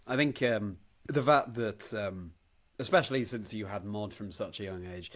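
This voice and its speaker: A man hosting a conversational interview, speaking in a thoughtful, halting, affirming way. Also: lowish voice. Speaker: podcast host, interviewer.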